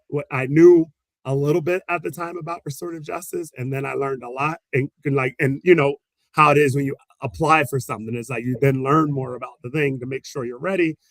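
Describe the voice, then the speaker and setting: Animated, laughing man telling stories. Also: a little high, no echo. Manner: animated storyteller, laughs often, acts out quotes. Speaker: special education teacher and guest. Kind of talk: conversational interview answers.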